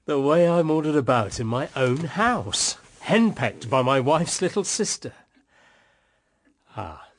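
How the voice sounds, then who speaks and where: An actor with a British accent playing a weary, embittered husband in a radio drama, wry yet tenderly affectionate. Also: male voice, on the high side, big pitch swings, slow. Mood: wry teasing. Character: weary, embittered husband, tender toward his young sister-in-law.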